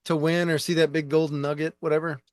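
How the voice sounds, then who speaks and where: A man's voice: on the high side, flat delivery, fast, a little mellow. A man hosting a business podcast, reacting to his guest with enthusiastic short comments and questions. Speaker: podcast host. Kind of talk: casual interview.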